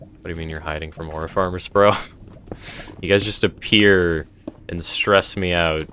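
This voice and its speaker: Casting: young male streamer, deep voice, strained, rambly chat to viewers, faint noise behind. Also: steady tone, moderate pace, bright, forceful tone. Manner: rambling, reads chat aloud and answers it. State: voice feeling strained. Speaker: streamer running a game server. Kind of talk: casual live-stream chat.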